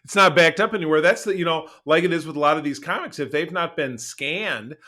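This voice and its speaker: A middle-aged man chats as a podcast co-host. His voice sits a little high and has a bright, forceful edge. He talks in a casual, rambling and emphatic way. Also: brisk. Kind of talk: casual conversational podcast chat.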